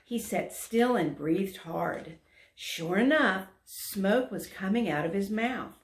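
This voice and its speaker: Unhurried, expressive woman reading a storybook. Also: mid-range voice. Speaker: volunteer story reader. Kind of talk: children's read-aloud narration. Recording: roomy echo.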